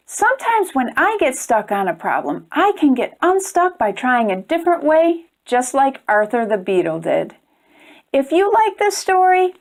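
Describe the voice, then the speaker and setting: A woman hosting a children's storytime, reading aloud expressively and speaking directly to young listeners. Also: high voice. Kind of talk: children's read-aloud narration.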